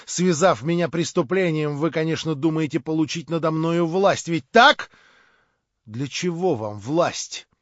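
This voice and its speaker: A man's voice: a little high, unhurried, no echo, lightly acted. A man voicing a cold, proud young nobleman who speaks curtly and with contempt. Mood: scornful. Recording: dull lo-fi dry recording.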